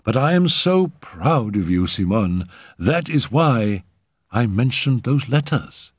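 Man acting an earnest, coaxing gentleman, animated pitch. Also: slow pace, husky, no room sound, studio quality. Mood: placating. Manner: earnest, persuasive, placating. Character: enthusiastic, coaxing Frenchman, persuasive lover.

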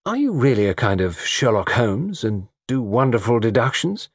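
A man voicing a very young, haughty society woman with a crisp, chiding manner. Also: lowish voice, expressive, fairly quick, no echo, clean studio sound. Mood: bright, gracious.

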